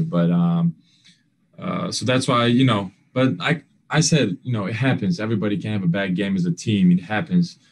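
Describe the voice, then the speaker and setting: A young male basketball player answering postgame questions over a video call. He speaks earnestly in halting phrases, full of fillers and restarts, with non-standard grammar. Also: deep voice, darkish tone. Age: young adult. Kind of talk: postgame press conference answers.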